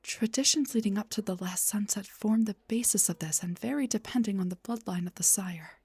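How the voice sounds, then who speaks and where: A woman playing a gentle, refined vampire doctor in a soft-spoken roleplay, breathy and whispery, with a polite, slightly hesitant manner. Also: medium pitch, fairly quick. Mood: explanatory, gentle.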